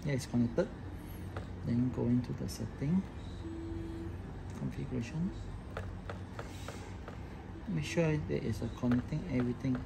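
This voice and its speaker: Male installer, mid pitch, informal step-by-step tech tutorial, noisy room recording. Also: a little slow, gravelly, pauses often. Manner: instructional, talking through each step while working. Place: indoor office workbench with electronic devices.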